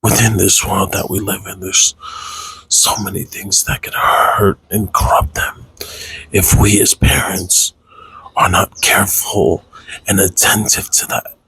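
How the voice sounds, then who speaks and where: A Tongan American man from the United States speaking in a personal, confessional vlog, halting and reflective, with a husky, breathy voice. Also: low voice, little pitch movement, pushed and bright, poor audio. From United States, raised Tongan American. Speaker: content creator, father.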